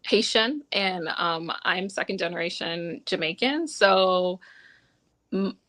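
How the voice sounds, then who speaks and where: A woman telling a reflective personal anecdote on a panel, choosing her words in a halting, thoughtful way. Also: fairly low pitch, expressive, pushed and bright. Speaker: behavior analysis professional on a panel.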